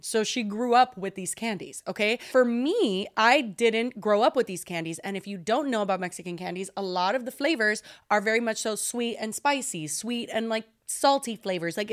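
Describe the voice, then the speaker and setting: Young woman podcast cohost, kinda high voice, swears a ton, super animated. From second-generation Cuban American. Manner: animated, swears a lot, emphatic. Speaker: podcast co-host. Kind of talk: casual chat.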